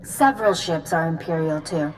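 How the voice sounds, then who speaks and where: An actress playing a grave, resolute Jedi commander in a video game, giving briefings in a serious, measured, authoritative manner. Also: female voice, deep voice, slight background noise, close to an everyday voice, poor audio. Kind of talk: video game cutscene acting.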